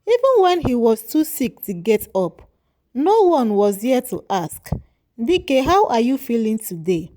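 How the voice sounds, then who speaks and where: A woman storyteller narrating a folktale in a measured, moralizing way. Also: high-pitched, mellow.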